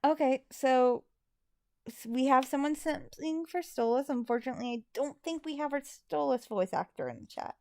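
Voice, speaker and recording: A woman's voice: high-pitched, normal speed, vocal fry, warm tone, no room sound, clean studio sound. A tired woman chatting casually as she hosts a live stream, using mild, folksy exclamations. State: a little tired. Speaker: live stream host and amateur voice actor.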